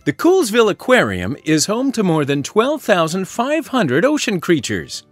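A man voicing an excitable, bookish teenage girl from a cartoon storybook, reading out facts with eager exclamation. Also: high-pitched, moderate pace, no echo, faint music behind, voice pushed up, exaggerated voice. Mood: excited.

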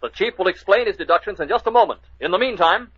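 A man's voice: sits high, expressive, fast talker. A male announcer presents a scripted mystery in old-time radio style. The recording is dull and dated.

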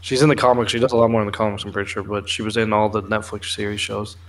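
A man's voice: fairly low pitch, little pitch movement, racing, fairly bright tone. A young man co-hosting a casual pop culture chat from a room at home, explaining things informally and swearing freely. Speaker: co-host of a pop culture news show. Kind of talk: casual chat, explaining film trailers and comics.

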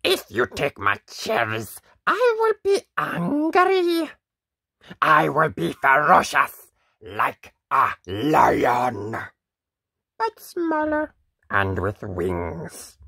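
Lady doing a grumpy little cartoon bat for a kids book, super slow and dramatic, swoops all over, dry close sound. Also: sits low, wildly swooping pitch, gravelly, fairly bright tone, slightly rough, dry recording, full character voice. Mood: possessive, threatening. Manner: theatrical, drawn-out, emphatic. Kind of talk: children's picture-book read-aloud.

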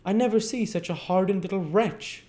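A man's voice: lively intonation, normal speed, mellow, lightly acted. A man voicing a harsh storybook matron of a poorhouse, who scolds sharply and fawns on her betters. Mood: scolding. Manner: shrill scolding, obsequious to superiors. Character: harsh, scolding, fawning woman running a poorhouse.

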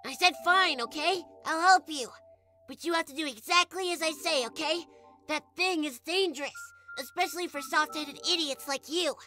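A woman's voice: sits high, normal speed, pushed and bright. A woman voicing a small, selfish, sarcastic talking flower with an exasperated, scornful manner, in a comic dub. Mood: grudging, irritated. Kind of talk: animated comic dub voice acting. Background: music.

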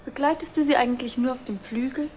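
A woman's voice: high-pitched, fast, darkish tone, lightly acted. An actress playing a sulky, pointed young girlfriend in a 1960s TV drama. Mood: sulky, suspicious. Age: young adult. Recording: faint hiss, old TV soundtrack.

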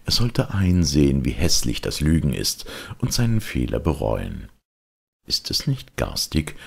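A man narrating a children's audiobook in an expressive, theatrical storytelling style. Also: a bass voice, vocal fry, husky.

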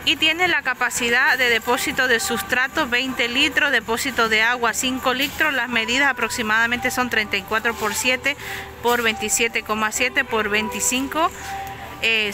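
A woman's voice: on the high side, steady tone, moderate pace. A woman filming on her phone in a supermarket, chatting casually as she lists prices and sizes and corrects herself. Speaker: shopping vlogger. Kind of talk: casual store walkthrough narration. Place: inside a large discount supermarket. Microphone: handheld phone camera. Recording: music playing under the voice. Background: background music.

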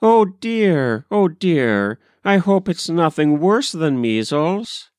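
Man as a genteel, flustered mother, pitched up. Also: big pitch swings, takes it slowly, a little mellow, clear, resonant tone, dry recording, studio quality. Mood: worried. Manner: genteel, exclaiming, decisive. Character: proper, flustered Edwardian mother, brisk and practical. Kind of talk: storybook character voice.

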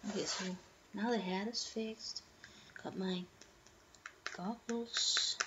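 Slow, murmuring woman on a lo-fi webcam. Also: mid-range voice, fairly even intonation. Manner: slow, murmuring self-talk with frequent laughs. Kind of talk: in-character roleplay vlog. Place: small room indoors. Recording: dull lo-fi webcam audio with loud noise.

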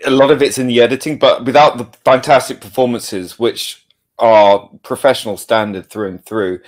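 A man's voice: mid-range voice, steady tone, normal speed. A man speaking casually as a guest in an interview conversation.

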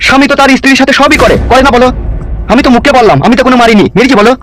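A man's voice: very high voice, very fast, heavy background noise. A man playing a brash politician in a comedy cartoon who coaxes his new bride with wheedling, boastful, crude talk. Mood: indignant, boastful. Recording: music behind.

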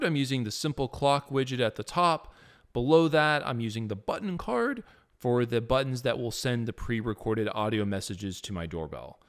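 Man, thirties, mid-range pitch, explanatory tech host, lapel microphone indoors. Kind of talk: explanatory tech presentation to camera. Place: quiet living room, indoors.

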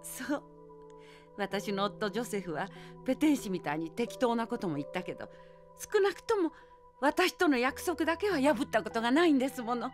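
A woman's voice: fairly high pitch, lively intonation, moderate pace, faint music behind, natural voice. An actress playing an elderly mother, earnest and tender as she reassures her daughter in an audio drama. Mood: tender reassurance. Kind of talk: Japanese audio drama acting.

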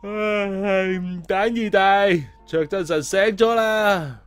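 A man voicing a loud, childish, self-important male patient who hollers in a drawn-out, boastful way in an audio story. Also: high-pitched, fairly even intonation, clean tone, faint music behind, pitched above the performer's own voice. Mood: loud calling.

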